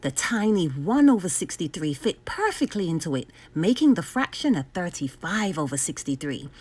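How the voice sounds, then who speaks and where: A woman reading a children's storybook aloud expressively, enunciating clearly with dramatic emphasis. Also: lowish voice, very animated, moderate pace, a little mellow. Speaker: storybook reader.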